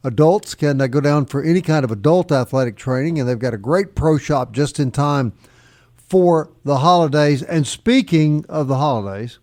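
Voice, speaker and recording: A man's voice: on the high side, moderate pace, darkish tone. A man hosts sports radio. He talks in a folksy, bantering way and moves easily between casual chat and sponsor reads.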